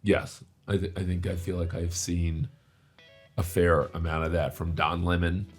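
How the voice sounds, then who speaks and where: Man, very low pitch, husky edge, casual talk co-host, faint background music. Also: level tone, moderate pace. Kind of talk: casual discussion.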